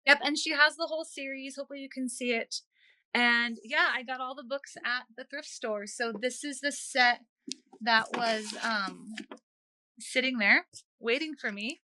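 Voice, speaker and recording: A woman's voice: high voice, normal speed, sharp tone. A woman speaking as a guest author in a conversational interview, chatting and telling personal stories in an earnest way.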